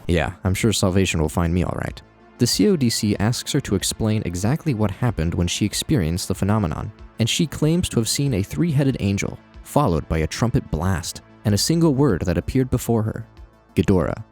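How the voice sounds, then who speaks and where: Low-pitched man narrating with lively inflection. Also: fairly quick, faint music behind. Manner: wry asides. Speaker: video essay host. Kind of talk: conversational commentary narration.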